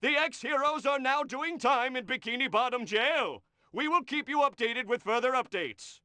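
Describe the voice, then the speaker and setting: A man's voice: extremely high pitch, expressive, moderate pace, pushed and bright, no echo, full character voice. A man voicing a cartoon TV news reporter with a formal, announcer-like delivery in a game cutscene. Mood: urgent, announcing. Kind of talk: newscast parody in cartoon game.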